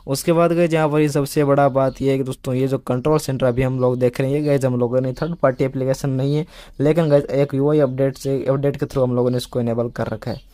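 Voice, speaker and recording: A man talks at a quick clip in a soft, mellow tone. He hosts casual tech explainers, often repeating himself and addressing his viewers as friends. The sound is close and dry. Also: medium pitch, fairly even intonation, no echo. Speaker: tech video host. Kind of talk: casual smartphone update explainer.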